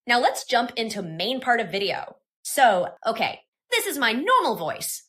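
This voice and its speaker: A woman presenting a software tutorial in scripted, instructional, step-by-step narration. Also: on the high side, very animated, normal speed.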